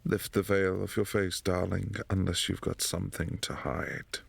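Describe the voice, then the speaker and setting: A man playing a possessive, imperious vampire prince in a boyfriend ASMR roleplay, speaking in a formal, commanding, softly menacing way. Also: low-pitched, steady tone, moderate pace, gravelly, husky, no echo, heavily acted. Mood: cool, imperious.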